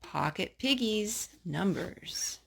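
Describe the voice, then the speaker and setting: A woman's voice: mid-range voice, lively intonation, slow pace, gravelly, fairly bright tone, slight background noise. A woman hosting a children's storytime, reading picture books aloud and chatting with a young child through simple questions and asides. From North Carolina.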